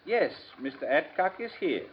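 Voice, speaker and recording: An actor playing a sly, unruffled pawnbroker in a radio suspense drama, speaking formally and precisely in cryptic, measured phrases. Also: male voice, a little high, sing-song, breathy edge. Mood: polite, puzzled. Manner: formal, measured, philosophical, precise diction without contractions. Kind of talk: 1950s radio suspense acting. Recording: dull, noisy 1950s radio recording.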